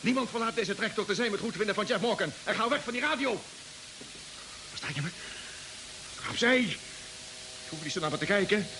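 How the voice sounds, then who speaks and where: An actor playing a stubborn, excitable spaceship crewman in an old science-fiction radio drama, blustering and breaking off mid-sentence. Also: high voice, fairly quick, gravelly, pauses often. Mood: defiant, angry. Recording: hissy old radio recording.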